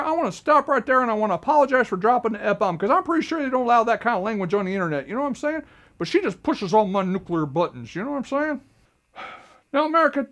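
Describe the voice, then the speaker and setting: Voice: mid-range voice, fairly bright tone. A performer playing a folksy, rambling rural man who talks straight to the audience in a comic monologue. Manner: chatty, folksy, keeps asking "you know what I'm saying?". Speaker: self-styled host addressing America. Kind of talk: comic character monologue to camera.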